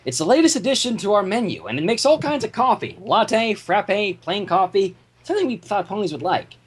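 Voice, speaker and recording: Woman playing male cartoon pony baker, proud, boastful, enthusiastic, lively swooping pitch. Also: brisk, slightly rough.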